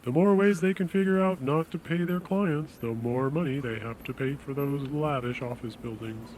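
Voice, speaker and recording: A man playing a polite, persuasive insurance salesman in an audio drama, speaking in a measured, reassuring way. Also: mid-range voice, a little mellow, slight background noise, close to an everyday voice, low-quality recording. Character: smooth-talking, reassuring male death insurance salesman.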